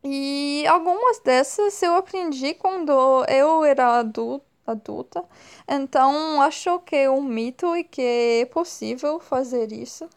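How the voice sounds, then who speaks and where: A woman in her twenties from France with a French accent, speaking slowly and hesitantly as an interview guest, often pausing to search for words. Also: sits high, darkish tone. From northern France. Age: 20s. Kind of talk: slow, careful speech with many pauses and fillers.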